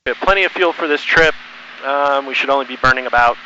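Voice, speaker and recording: A middle-aged man with a very bright, edgy voice talks casually to himself as he flies. His phrases come haltingly as he works through each step. The audio is narrow and lo-fi, like a cockpit headset, with faint engine noise underneath. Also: mid-range voice. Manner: halting, thinking aloud to himself. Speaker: student pilot flying solo, narrating for video. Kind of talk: casual running commentary while flying. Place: cockpit of a small plane in flight. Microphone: aviation headset.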